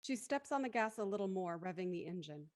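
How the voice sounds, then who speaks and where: A woman's voice: medium pitch, expressive, brisk, no echo, studio quality. A woman reading scripted narration for an audio drama thriller.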